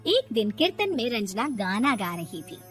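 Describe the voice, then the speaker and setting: Woman narrator, high voice, reading out a moral story, music underneath. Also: sing-song, moderate pace.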